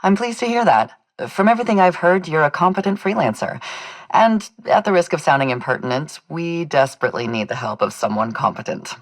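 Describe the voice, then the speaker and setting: A woman's voice: fairly low pitch, lively intonation, a little fast, no room sound. A woman playing a weary, dutiful constable in a video game, speaking with formal, deferential courtesy. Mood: relieved, polite. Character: weary, dutiful female colonial constable, polite and anxious. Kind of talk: video game dialogue acting.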